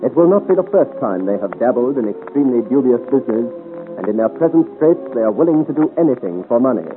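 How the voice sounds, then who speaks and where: A man's voice: medium pitch, sing-song, quick pace, narrow, tinny audio. A man giving dramatic scripted narration for a 1950s radio serial, on a thin old recording. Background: loud music.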